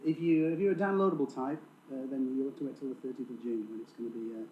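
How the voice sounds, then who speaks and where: A man's voice: medium pitch, fast, a little hiss or hum. A man from Lancashire, a band member chatting casually in an interview, hesitant and wry, in an echoey, slightly dull recording. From Lancashire, England.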